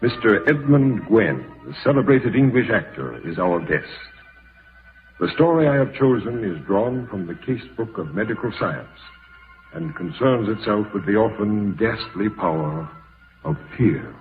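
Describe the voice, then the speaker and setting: Deep theatrical male radio narrator. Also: sing-song, unhurried, soft background music. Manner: theatrical, solemn, formal. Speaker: host and narrator. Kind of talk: old-time radio horror narration. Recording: muffled, narrow-band vintage radio recording.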